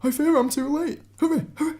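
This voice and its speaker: Frantic, fretful male rabbit for radio play, played by a woman; high, hurried exclamations, noise behind. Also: fairly even intonation, mellow, lightly acted. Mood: fearful, hurried. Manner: urgent, exclaiming in short repeated bursts. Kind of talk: radio drama acting. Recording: clearly noisy recording with clicks.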